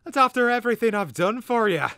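A man's voice: sits low, moderate pace, a bit bright, no echo. A man voicing a haughty, irascible talking bird in a storybook, indignant and grandiloquent in manner. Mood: angry.

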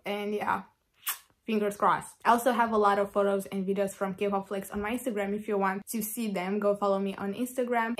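A young woman chatting casually to her phone camera in a small room, rambling with lots of "like". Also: mid-range voice. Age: young adult. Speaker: vlogger.

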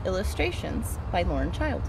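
Expressive woman reading a storybook outdoors. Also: on the low side, normal speed, gravelly. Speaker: educator reading aloud. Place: outdoors in a garden. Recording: outdoor ambient noise.

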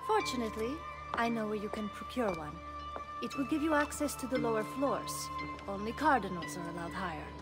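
Woman acting a poised, high-voiced Italian madam. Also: expressive, brisk, natural voice. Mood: coy, businesslike. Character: poised, teasing Italian woman running a house of courtesans. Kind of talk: video game cutscene acting. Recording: music behind the dialogue.

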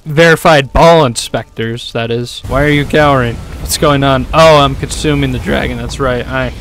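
A man's voice: medium pitch, moderate pace, a bit bright, a little hiss or hum. A young man giving irreverent, sweary comedic game commentary into a close desktop mic, reacting aloud. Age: young adult. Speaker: gaming YouTuber. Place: small room at home. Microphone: desktop microphone up close.

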